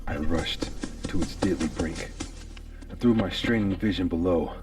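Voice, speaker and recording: A man's voice: low voice, moderate pace, a little mellow, distant mic, soft background music. A man giving a theatrical, anguished and intense first-person reading of a gothic horror story.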